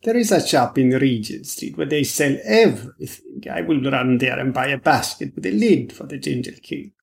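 A man reading an audiobook voices a nervous, shaken foreign gentleman who pleads haltingly. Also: mid-range voice, expressive, vocal fry. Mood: anxious.

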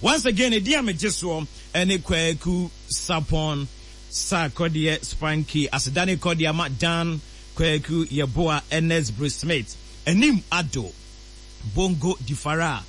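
A man presenting on radio, reeling off long lists of names in shout-outs. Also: on the high side, unhurried, a little hiss or hum.

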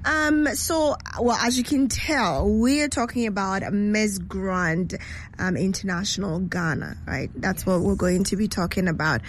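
A woman's voice: medium pitch, unhurried, a little hiss or hum. A woman hosting a live radio show from her studio, chatty and bubbly, laughing often as she interviews guests.

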